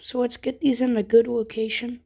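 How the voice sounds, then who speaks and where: A woman with a fairly high voice gives a casual, hands-on product demonstration. She explains each step informally, as a reviewer showing how things work. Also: flat delivery, normal speed, creaky, darkish tone.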